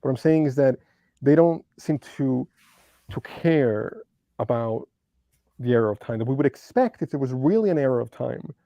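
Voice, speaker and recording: A man's voice: mid-range voice, lively intonation, normal speed, warm tone, no room sound, dull audio. A man speaking English as a second language, who hesitates and gropes for words with many ums while discussing philosophy as a guest. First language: not English. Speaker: debate guest.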